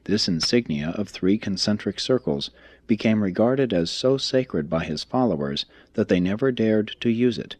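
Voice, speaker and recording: A man reading a religious text aloud as scripted narration, in a formal, measured, expository manner. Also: on the low side, husky, no echo. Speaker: audiobook reader.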